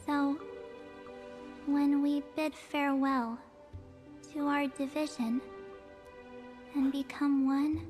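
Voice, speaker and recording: A woman voicing a sweet, cheerful teenage girl in a video game, girlish and expressive. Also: sits high, slow, long pauses, faint music behind. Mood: tender. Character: cheerful teenage girl reuniting with her friends, sweet and playful.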